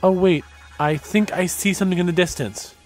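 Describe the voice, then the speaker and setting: An easygoing young man, played by an actor in an audio drama. His voice is high for a man, and he is upbeat and affectionate. Faint music sits behind him. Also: fairly quick, close to an everyday voice. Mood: alert.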